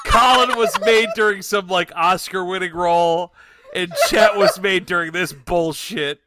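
A man's voice: high voice, a little slow, very noisy. A man co-hosting a podcast, cracking crude jokes and laughing often in casual movie banter. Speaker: podcast co-host.

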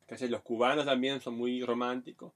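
A man's voice: medium pitch, steady tone, normal speed. A man in his thirties from Brazil with a Brazilian accent, co-hosting a podcast in casual chat and telling frank stories full of Chilean slang. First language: Portuguese. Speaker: podcast co-host.

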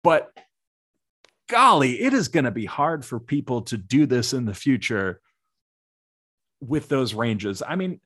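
A man with a low voice, co-hosting a podcast and chatting earnestly about musical theater. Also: big pitch swings, a little slow, pauses often, a bit bright, slightly rough, dry recording, clean studio sound. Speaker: podcast co-host.

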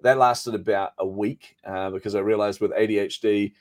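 A man's voice: lowish voice, fairly even intonation, moderate pace. A middle-aged man with a New Zealand accent, telling his career story as an interview guest in a chatty, self-deprecating way. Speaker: podcast guest, marketing coach.

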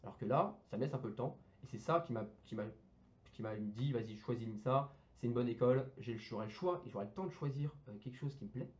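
A man's voice: medium pitch, expressive, fast, dull audio. A young man, an engineering student, chatting casually on a podcast, full of fillers, restarts and slang. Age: young adult.

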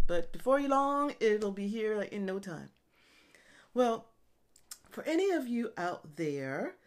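Fifties woman, fitness coach, chatty podcast host, somewhat high voice, laughs easily. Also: fairly bright tone. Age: 50s. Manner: chatty and self-deprecating, laughs often. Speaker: online fitness and nutrition coach. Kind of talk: casual solo podcast talk.

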